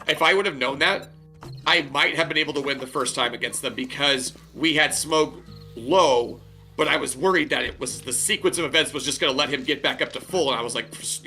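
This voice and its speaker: Man, streamer co-host, bright cutting voice, cold-worn, rambly casual delivery. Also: medium pitch. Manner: rambling, restarts and chatty asides. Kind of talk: casual gaming chat.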